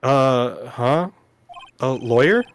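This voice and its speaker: A man's voice: mid-range voice, lively intonation, very slow, slight background noise. A man voicing an earnest young defense lawyer in a video game, polite, plain and a little hesitant. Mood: taken aback. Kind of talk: video game dialogue read aloud by amateur.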